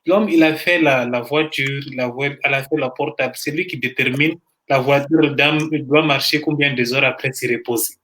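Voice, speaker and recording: A co-host on a live stream speaks at a middle pitch. The talk is eager and preachy, full of exclamations and encouragement. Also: steady tone, moderate pace, few pauses, fairly bright tone. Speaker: co-host of a livestream. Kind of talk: casual live-stream chat.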